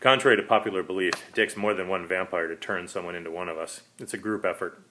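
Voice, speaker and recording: A man's voice: low voice, fast talker, slight background noise. A man voicing a lonely, despairing last vampire, a polite predator, in a dramatic story reading. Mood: weary, bitter. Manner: pleading, rising into manic shouting.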